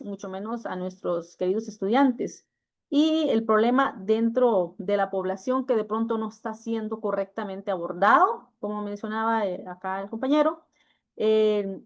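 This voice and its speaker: A woman from El Salvador, an educator moderating a panel discussion, speaking in a measured way with frequent hesitations and fillers. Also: on the high side.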